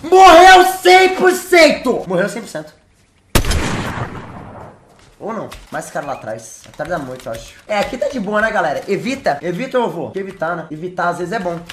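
A young man with a Brazilian Portuguese accent streaming a game from his bedroom on a headset, joking with chat and breaking into shouted mock-English boasts. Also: high voice, sing-song, fairly quick, echoey room, a little hiss or hum. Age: young adult. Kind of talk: live gameplay commentary. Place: bedroom with gaming chair.

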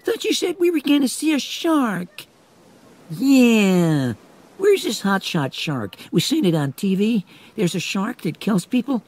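A pushy young teenage boy from Queens as a man acts him, pitched high and swooping. He whines and demands in a New York accent, in an audiobook. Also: big pitch swings, measured pace, darkish tone, exaggerated voice. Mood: whining, impatient. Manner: whining, demanding. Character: whiny, pushy thirteen-year-old boy from Queens.

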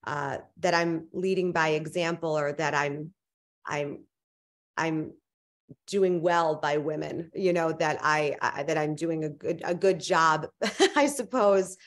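Low-voiced young woman, earnest and halting. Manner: earnest, searching for words, many restarts. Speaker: guest author. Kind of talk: casual interview answers. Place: home living room indoors. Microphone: video call webcam.

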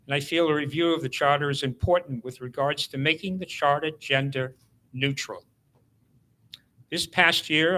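A man's voice: fairly high pitch, sharp tone, low-quality recording. An older man delivering a prepared campaign speech as a candidate for city council.

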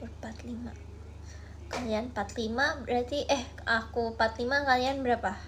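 A woman in her twenties chatting playfully on a late-night livestream from her bedroom, talking into a phone held at arm's length, sometimes dropping to soft murmurs. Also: a little high, steady tone, moderate pace, a little hiss or hum. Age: 20s. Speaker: idol livestreamer.

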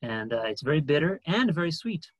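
A man's voice: on the high side, big pitch swings, fairly quick, no room sound, dull audio. A man in his thirties from Brazil, chatting casually as a guest on a video call. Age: 30s. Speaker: theatre artistic director and guest. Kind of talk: casual chat. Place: room at home.

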